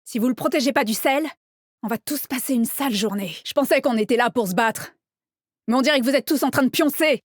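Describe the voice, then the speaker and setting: A woman's voice: a little high, dry recording, clean studio sound, lightly acted. An actress voicing a young, cocky and upbeat female agent in a tactical shooter game, with short, confident, teasing callouts. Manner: confident, teasing, brisk delivery. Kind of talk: video game voice lines, short punchy callouts.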